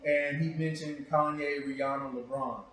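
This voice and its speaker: Echoey, higher-voiced man hosting casually. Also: fairly even intonation, moderate pace, fairly bright tone. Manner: informal, playful, teasing, repeats himself. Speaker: talk show host. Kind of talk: casual interview chat, profane joking. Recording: very echoey room, distant mic.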